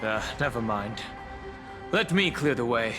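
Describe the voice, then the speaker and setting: A man's voice: medium pitch, lively intonation, measured pace, over music, natural voice. A man voices a stoic young spearman hero from a fantasy game. His mid-range voice is serious and wary, with terse, reserved delivery. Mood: dry, resolute. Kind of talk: English game dub voice acting.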